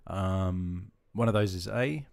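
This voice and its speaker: A middle-aged man from Sydney with an Australian accent, deep-voiced and chatty, talking casually with viewers on his gaming livestream. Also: slow pace, creaky, darkish tone, clean tone. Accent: Australian, with some Queensland features. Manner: chatty, friendly, greets viewers by name.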